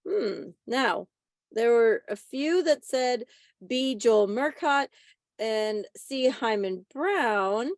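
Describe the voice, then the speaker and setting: A woman's voice: on the high side, expressive, drawn-out words, no room sound, studio quality. A woman hosting a quiz on a video call, reading out questions and answers. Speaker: quiz presenter.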